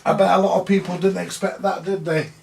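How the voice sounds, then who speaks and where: A man's voice: high-pitched, level tone, fairly quick, gravelly, a little mellow, a little hiss or hum. A man chatting casually and colloquially, rambling along as he hosts a repair video. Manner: colloquial, chatty, tag questions. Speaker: vintage radio repairer, video host. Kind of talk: casual rambling vlog chat.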